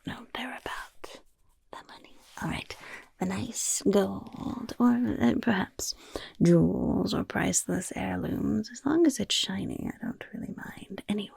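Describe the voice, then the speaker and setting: A woman voicing a sly, gold-loving female goblin rogue in a whispered ASMR fantasy roleplay, breathy and coy, with rambling asides. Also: mid-range voice, dry recording, heavily acted. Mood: coy, teasing, then chatty, inviting. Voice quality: breathy, husky, crackly whisper. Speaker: roleplay quest-giver for a rogues' league.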